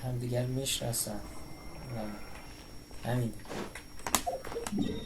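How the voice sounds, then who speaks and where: A woman's voice: extremely low pitch, flat delivery, quick pace, heavy background noise. A woman casually commenting over a video game as she plays. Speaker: game streamer. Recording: game music behind.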